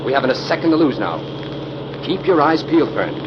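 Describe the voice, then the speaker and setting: An earnest, determined investigator from a 1940s radio mystery. His pitch swings widely in a lively way, heard through a dull and clearly noisy old recording. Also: a little fast. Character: earnest, determined male crime-fighting investigator.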